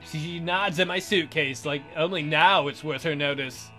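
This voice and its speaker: A man's voice: a little high, moderate pace, bright, forceful tone, faint music behind. A man doing a let's play, reading visual novel narration aloud. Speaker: let's player.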